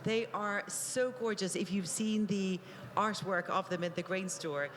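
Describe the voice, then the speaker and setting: A woman with a slightly croaky voice hosting a festival cooking stage, introducing guests with gushing enthusiasm. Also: mid-range voice, fairly even intonation, a little slow. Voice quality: a little croaky. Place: festival stage in a large hall. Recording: reverberant hall, faint noise.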